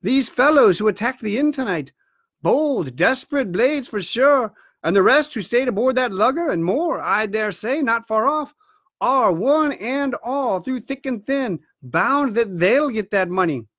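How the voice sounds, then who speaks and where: A man reading a storybook aloud, voicing a cautious, level-headed country doctor who speaks firmly and with measured warning. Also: very high-pitched, expressive, fairly bright tone, no echo, studio quality, pitched above the performer's own voice, heavily acted.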